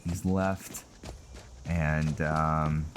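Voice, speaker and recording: A man's voice: extremely low pitch, level tone, extremely slow, a little hiss or hum. A man doing casual, rambling game commentary, thinking aloud hesitantly and in fragments. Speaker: gaming host narrating a roleplay playthrough. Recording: loud game music behind the voice. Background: video game music and sounds.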